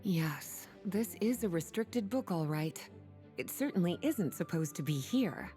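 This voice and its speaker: A woman voicing a poised, wry librarian in a video game who speaks in a languid, gently teasing way. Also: fairly low pitch, animated, a little slow. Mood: amused. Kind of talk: game voice acting. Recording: soft game music underneath.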